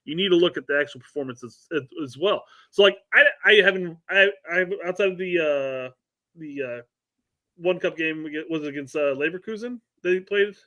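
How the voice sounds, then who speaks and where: A man's voice: a little high, moderate pace, pushed and bright. A man hosting a casual podcast chat, often restarting his sentences and agreeing readily. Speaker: host.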